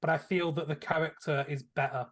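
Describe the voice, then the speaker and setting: A man with a slightly high voice chats as a panelist on a film podcast. He explains his views conversationally, often softening them as he goes. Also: steady tone, brisk. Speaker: podcast panelist reviewing films. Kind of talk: casual conversational commentary.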